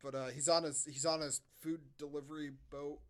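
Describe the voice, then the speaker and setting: A man's voice: on the high side, level tone, fairly quick, a crowd in the background. A man co-hosting a podcast, giving a casual, comedic movie recap in a sardonic manner. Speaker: podcast co-host.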